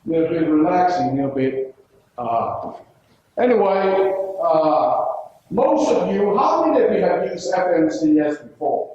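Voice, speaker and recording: A man around sixty with a Hong Kong accent speaks informally to a workshop in a fairly high voice. His delivery is folksy and halting, like a practiced storyteller who pokes fun at himself. The sound is very echoey and picked up from far off. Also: very animated, darkish tone. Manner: folksy, self-deprecating joking, halting with frequent pauses. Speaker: workshop presenter, labor mediator. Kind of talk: informal conference talk with storytelling. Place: indoor conference room. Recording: echoey room, distant, muffled, faint noise.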